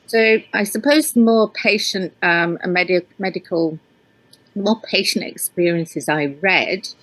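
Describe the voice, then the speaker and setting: A woman with a hybrid northern English accent talks as an author being interviewed. Her voice sits slightly low, and she hesitates often, restarting phrases with plenty of ums. The sound is dry, with no room in it. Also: measured pace, a bit bright, no echo, low-quality recording. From Yorkshire, England. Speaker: author and researcher. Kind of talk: interview guest answering questions.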